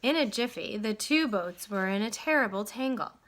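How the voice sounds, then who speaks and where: A woman reading a children's story aloud in an expressive, dramatic way with deliberate phrasing. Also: medium pitch. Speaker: storybook reader.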